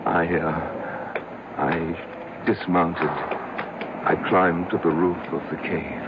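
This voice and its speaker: An actor playing a lonely, haunted older man confessing a cruel secret in halting phrases with dramatic pauses, in old-time radio style. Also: deep voice, level tone, very noisy. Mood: hushed, intense. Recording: dull lo-fi vintage radio, music behind.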